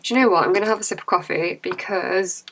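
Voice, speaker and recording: A young woman chatting casually to camera about her budget, her voice croaky and cracking from a cold, with chatty, apologetic asides. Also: mid-range voice, quick pace, a bit bright, slight background noise. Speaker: budgeting vlogger.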